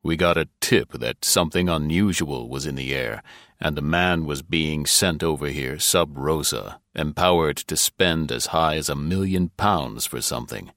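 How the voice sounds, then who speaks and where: A man's voice: very deep voice, normal speed, a bit bright, dry recording, clean studio sound. A man voicing a blunt, impatient government chief who growls his orders, in a story reading. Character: gruff blustering male government chief.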